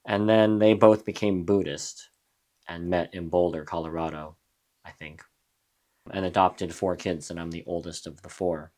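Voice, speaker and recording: A young adult man from New Mexico, a musician guest in a casual interview, talking in a reflective, halting way with frequent restarts. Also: low-pitched, fairly even intonation, darkish tone. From USA (New Mexico).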